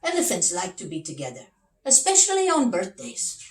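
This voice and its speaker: Woman playing a confident storybook detective, deliberate, emphatic, animated pitch, echoey room. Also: recorded from a distance. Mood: explaining. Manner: declarative, emphatic reasoning. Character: confident, deliberate little male detective Big Max. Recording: roomy echo, away from mic.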